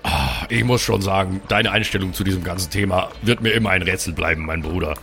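Low-voiced man over background music. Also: brisk, fairly bright tone. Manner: wry, playful, mixes English phrases into his speech. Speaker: player in an improvised pen-and-paper audio play. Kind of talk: improvised in-character banter mixed with casual table talk.

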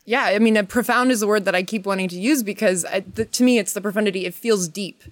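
A woman guest on a podcast, speaking casually in a halting, heartfelt way as she searches for words. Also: medium pitch, dry recording.

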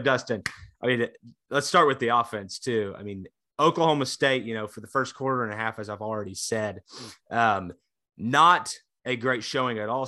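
Young male podcast co-host, bright edgy tone, excitable casual chatter, slightly congested. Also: medium pitch. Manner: excitable, chatty, lots of fillers. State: congested from illness. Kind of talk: casual sports podcast chat.

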